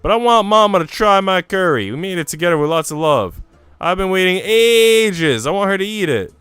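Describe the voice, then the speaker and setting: A man voicing an excitable, sweet and insistent little girl in a visual novel read-aloud, childlike and eager. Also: high voice, normal speed, smooth, no room sound, soft background music, pitched above the performer's own voice. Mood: pleading.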